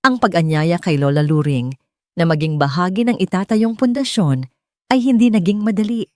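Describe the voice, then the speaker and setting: A woman's voice: fairly low pitch, moderate pace, dark tone, no echo, studio quality. A woman with a Filipino accent reading a scripted dramatic story as an audiobook narrator.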